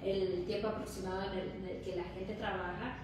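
A woman's voice: mid-range voice, level tone, fairly quick, recorded from a distance. A middle-aged woman from Mexico with a Mexican Spanish accent, explaining conversationally as an interview guest. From Hidalgo, Mexico. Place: indoor lounge nook with brick wall and concrete floor. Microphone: distant camera or phone. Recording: echoey, noisy room, off-mic.

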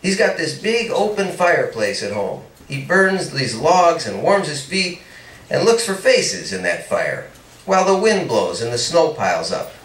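A playful, lovestruck young man who teases and dreams aloud, read by a man. The pitch leaps lively and sits a bit high, and it is heard from a distance in an echoey room. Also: a little slow. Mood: dreamy. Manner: teasing, dreamy. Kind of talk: read-aloud story dialogue.

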